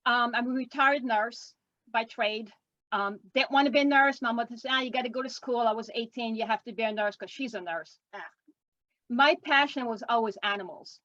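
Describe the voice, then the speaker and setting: Woman in her sixties with a French Canadian accent, fairly high voice, dry lo-fi call audio, chatty and rambling. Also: a little fast, bright, forceful tone, no echo. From Quebec, Canada. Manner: chatty, rambling, with frequent ums. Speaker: guest artist. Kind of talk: casual interview chat. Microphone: video call. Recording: dull lo-fi video call audio.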